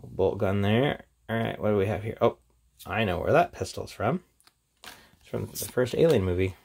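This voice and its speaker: A man's voice: on the low side, lively intonation, moderate pace, vocal fry, darkish tone. A man giving a casual, off-the-cuff product review in an informal, chatty way as he presents. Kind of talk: casual unboxing and review chat.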